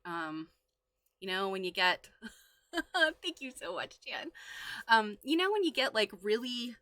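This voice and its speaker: Woman streamer just chatting away, giggly and playful, bright voice, really dry sound. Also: fairly high pitch, big pitch swings, a little slow, no echo. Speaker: livestream host. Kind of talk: casual livestream chat.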